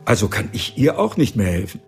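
An actor playing a proud, defensive older patriarch in a radio drama, indignant and stubborn. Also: male voice, fairly low pitch, sing-song, fairly quick, soft background music.

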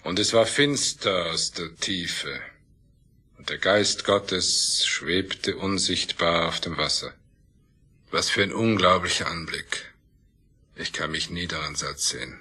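A low, husky and forceful voice of a grandiose scientist obsessed with his mission. He speaks deliberately and with total self-assurance, as the master of a spaceship in a science fiction radio play. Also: exaggerated voice. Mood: awed.

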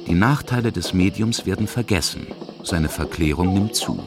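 A man's voice: low-pitched, expressive, moderate pace. A man reading scripted narration for a radio feature as its reporter. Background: music.

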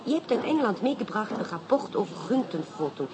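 An actress playing an elegant, playful and clever inspector's wife in a radio drama, speaking coquettishly with a French accent and French phrases. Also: female voice, mid-range voice, very animated, a little fast, close to an everyday voice. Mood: triumphant. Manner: coquettish, theatrical, peppering talk with French phrases. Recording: clearly noisy radio play recording.

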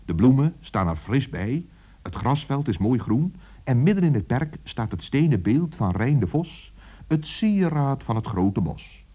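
An actor as the narrator of a children's radio play, telling the tale as scripted storytelling. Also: male voice, mid-range voice, sing-song, unhurried, warm tone, breathy edge, highs cut off. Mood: calm, storytelling.

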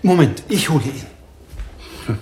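An actor playing a flustered, deferential bank manager in a radio play, eager to please. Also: male voice, fairly high pitch, very animated, takes it slowly, a little mellow, slight background noise. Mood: obliging.

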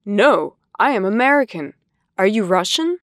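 A woman reading scripted English course dialogues in a deliberate, carefully enunciated way. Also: mid-range voice, expressive, dry recording, studio quality. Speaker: language course voice actor.

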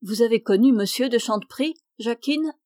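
Woman doing a calm pious schoolmaster. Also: fairly high pitch, moderate pace, no echo, clean studio sound, lightly acted. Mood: gentle.

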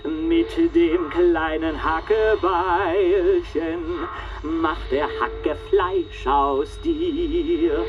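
Eerie, very slow male killer. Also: on the high side, steady tone, lo-fi sound, over music. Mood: macabre, playful. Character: eerie, halting male killer performing on radio. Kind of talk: radio drama, chanted rhyme and hesitant talk.

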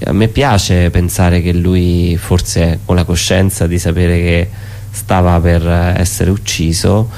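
A man talking reflectively in a radio interview, halting and repeating words as he thinks. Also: deep voice, little pitch movement, darkish tone. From Italy. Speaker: theatre artist, interview guest.

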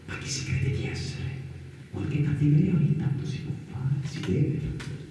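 An actor playing a malicious, envious gossip in a radio drama monologue, whispery, breathy and insinuating. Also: male voice, on the high side, moderate pace, dark tone, slight background noise, close to an everyday voice. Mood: suspicious, sly. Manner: insinuating, conspiratorial. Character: malicious, envious small-minded gossip muttering about a saintly man. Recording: echoey, distant, roomy sound.

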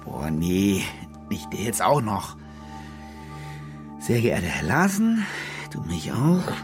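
A man's voice: lowish voice, wildly swooping pitch, takes it slowly, heavily acted. An actor playing a glib, evasive, often flustered male reporter in a naturalistic radio drama. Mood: irritated, sarcastic. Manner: hesitant, glib, sweary. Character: hustling, evasive German fake war reporter, glib and flustered. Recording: loud music or street noise behind.

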